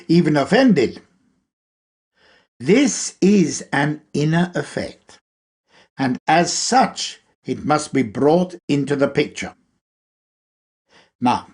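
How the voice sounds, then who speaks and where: Male lecture reader: unhurried delivery, lively melodic swings, measured emphasis on key phrases. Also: on the high side, breathy edge. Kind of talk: read-aloud spiritual lecture.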